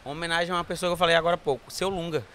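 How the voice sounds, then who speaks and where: A man's voice: fairly high pitch, fairly even intonation, very fast, fairly bright tone, clear, resonant tone. A man from Ceará with a Cearense accent, a brewery founder telling stories as an enthusiastic interview guest. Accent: Cearense Portuguese. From Ceará, Brazil. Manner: enthusiastic storyteller, frequent tag questions. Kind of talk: casual interview chat.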